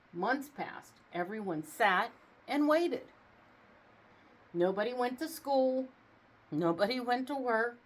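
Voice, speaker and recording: A woman reading a storybook aloud in an expressive storytelling style, with casual asides. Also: a little high, low-quality recording. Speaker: storybook reader.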